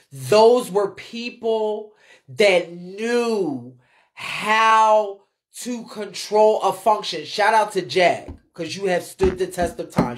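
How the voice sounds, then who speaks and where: A woman ranting off the cuff on a livestream, blunt and emphatic, swearing freely in heavy slang and repeating phrases to drive points home. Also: medium pitch. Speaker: livestream host, ballroom scene commentator. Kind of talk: unscripted livestream rant.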